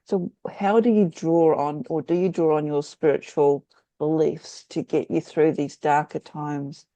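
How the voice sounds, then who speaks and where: A podcast host, chatting casually in an interview with halting, reflective, rambling phrasing. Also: medium pitch, fairly even intonation, mellow, no room sound. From Australia or New Zealand.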